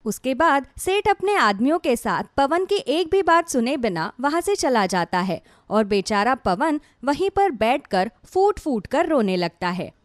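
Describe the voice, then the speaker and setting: High-pitched, animated woman storyteller. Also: dry recording, clean studio sound. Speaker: storyteller narrator. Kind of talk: scripted dramatic story narration.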